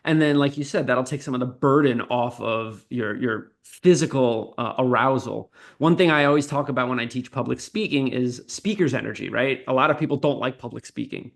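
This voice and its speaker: A man's voice: mid-range voice, fairly quick, creaky, hardly any pauses. A man, a communications professor, giving teacherly explanations in an interview and often adding "right?" as a tag question.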